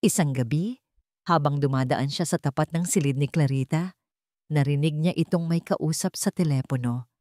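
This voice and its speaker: A woman's voice: sits low, normal speed, mellow, no echo. A woman reading a scripted dramatic story as its narrator.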